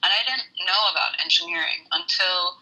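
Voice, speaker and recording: A woman in her thirties from Saudi Arabia, an engineer talking through her story in a casual interview, hesitant with many pauses. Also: lowish voice, sharp tone, husky, rough recording. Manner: thoughtful, hesitant with many ums. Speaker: guest, engineer.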